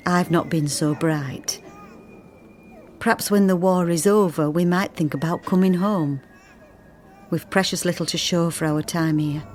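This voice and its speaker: Woman acting wistful 1940s factory mum reading a letter, low voice, faint ambience behind. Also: fairly even intonation, a little slow, darkish tone, slight background noise, natural voice. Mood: wistful, homely. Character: plain-spoken 1940s working mother writing a letter home. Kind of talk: letter read in radio drama.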